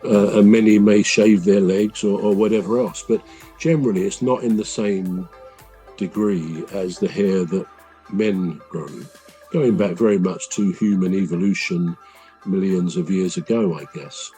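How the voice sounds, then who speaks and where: A man with a deep voice, hosting a podcast and explaining things at length in a casual, measured way. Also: steady tone, warm tone, soft background music, low-quality recording. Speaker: podcast host.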